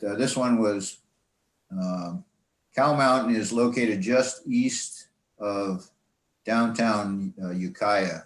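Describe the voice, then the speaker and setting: An older American man with a low-pitched voice talks through a slideshow in a roomy, echoing recording. His delivery is informal and conversational, wandering through memories with halting pauses and asides. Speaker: speaker presenting to a radio club. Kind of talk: informal slideshow talk, reminiscing. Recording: echoey, roomy sound.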